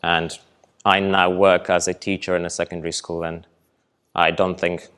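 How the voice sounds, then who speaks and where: A young man from poland, a presenter at a festival hall with a lowish voice. he talks in a loose, relaxed way, hesitating often and filling gaps with ums. his tone stays calm and low throughout. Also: moderate pace, smooth. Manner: relaxed, hesitant, many ums, light laughs. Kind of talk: conference talk with audience Q&A.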